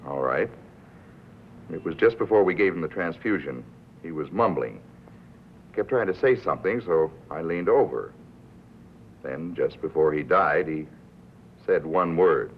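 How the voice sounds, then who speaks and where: A reluctant male hospital doctor in a 1950s film drama, with a deep voice. He is dry, hesitant and understated. The soundtrack is narrow and tinny with slight noise. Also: animated, unhurried, long pauses.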